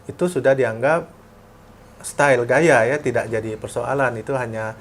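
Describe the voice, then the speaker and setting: A man from Jambi, a cartoonist guest, answering interview questions in a relaxed, halting way in his studio. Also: mid-range voice, a little hiss or hum. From Jambi, Indonesia.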